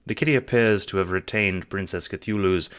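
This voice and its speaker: A man's voice: low-pitched, moderate pace, fairly bright tone, smooth. A man narrating a scripted story in the second person, in the style of an audio drama or audiobook. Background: music.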